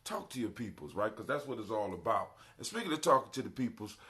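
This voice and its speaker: A man's voice: fairly low pitch, big pitch swings, fast, bright, forceful tone. A middle-aged man hosting a live internet radio show in a casual, folksy, conversational way, repeating phrases for emphasis. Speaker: podcast host.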